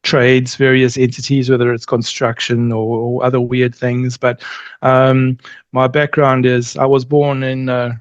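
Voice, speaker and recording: Interview guest: middle-aged male, medium pitch, halting casual speech, dull dry recording. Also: little pitch movement, no echo. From born in Zimbabwe, grew up in South Africa, long settled in New Zealand. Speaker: interview guest, company representative.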